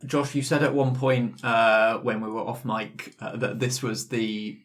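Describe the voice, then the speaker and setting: A man's voice: mid-range voice, level tone, measured pace, a bit bright. A man in a casual group discussion about tabletop gaming, explaining his reasoning aloud as a player. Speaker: player in a roleplay podcast.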